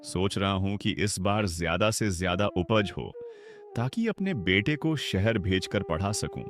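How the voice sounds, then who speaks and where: A man's voice: low voice, animated, unhurried. A man voicing a humble, hardworking poor farmer in a cartoon, talking in a respectful, earnest way. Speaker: voice actor. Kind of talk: cartoon dialogue acting. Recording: background music under voice.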